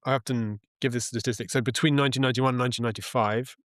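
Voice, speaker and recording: A man speaking as an interview guest, explaining things in a thoughtful, halting, conversational way. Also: medium pitch, fairly even intonation, no room sound, studio quality. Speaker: interview guest, journalist and author.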